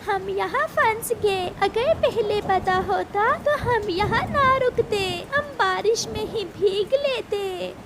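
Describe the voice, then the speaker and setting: Woman voicing a high-pitched, caring mother bird. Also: slow, few pauses, heavily acted. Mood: tearful. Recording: clear background noise.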